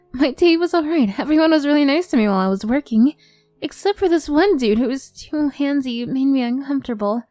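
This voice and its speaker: A woman's voice: sits high, warm tone, no echo, voice pushed up. A woman playing an affectionate young girlfriend, a sweet but tough-edged former bully, in an ASMR roleplay. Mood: sweet, shy.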